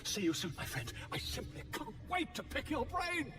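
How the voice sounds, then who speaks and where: High-voiced jaunty adventurer. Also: male voice, wildly swooping pitch, normal speed, recorded from a distance, over music, exaggerated voice. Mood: cheery. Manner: florid, enthusiastic. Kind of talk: video game character acting.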